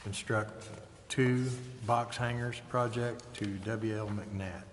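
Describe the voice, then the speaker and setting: A man's voice: lowish voice, steady tone, slow pace. Man making a motion in a reverberant chamber. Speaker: council member making motions. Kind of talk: formal motion in a meeting. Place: indoor council chamber. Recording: reverberant chamber, faint noise.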